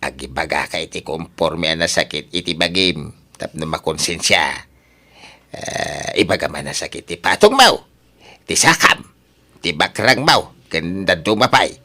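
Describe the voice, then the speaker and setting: A man's voice: low voice, animated, measured pace, bright, forceful tone, full character voice. A man acting as a wheedling, money-minded older father in an Ilocano radio drama, with an Ilocano accent. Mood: scheming, insistent.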